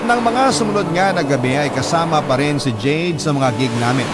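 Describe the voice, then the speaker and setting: Male story narrator over loud music. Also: a little high. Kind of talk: dramatic first-person story narration. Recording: loud music bed under the voice.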